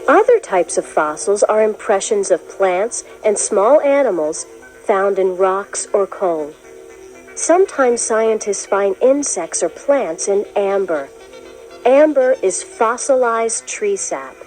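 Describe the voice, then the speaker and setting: A woman reading a children's science book as scripted narration, in a clear, expressive storytelling manner. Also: mid-range voice, takes it slowly, faint music behind, poor audio. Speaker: narrator of a children's educational read-along.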